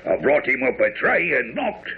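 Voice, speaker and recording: An actor playing a gruff, stubborn servant guarding a door, with rustic speech, in a radio drama. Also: male voice, mid-range voice, very animated, normal speed, lightly acted. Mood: relieved. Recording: muffled, faintly noisy old radio broadcast.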